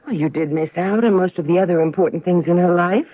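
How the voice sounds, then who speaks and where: An actress playing a middle-aged ex-wife in a radio drama, cool, wounded and unforgiving, with measured, dry delivery. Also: female voice, lowish voice, dry recording, natural voice. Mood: dry, cutting. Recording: tinny, band-limited radio drama recording.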